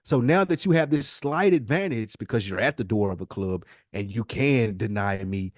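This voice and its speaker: Lowish voiced guy on a podcast, very dry sound, slangy, keeps pushing his point. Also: animated, normal speed, dry recording. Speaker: podcast co-host. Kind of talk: casual podcast chat.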